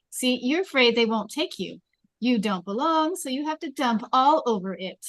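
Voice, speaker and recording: A woman's voice: on the high side, expressive, moderate pace, no echo, natural voice. A woman playing a judgmental, rich, popular teenage girl in a table read, cutting and condescending.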